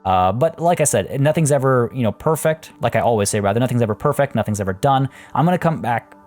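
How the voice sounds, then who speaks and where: A man hosting a let's play, rambling through his building steps and chatting with viewers, a little hoarse. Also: medium pitch, expressive, quick pace, darkish tone, faint music behind. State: losing his voice. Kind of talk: casual gameplay commentary.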